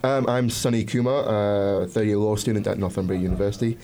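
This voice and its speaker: A young man in his twenties, a law student answering interview questions in a relaxed way with frequent fillers. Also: lowish voice, brisk. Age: 20s. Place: radio studio.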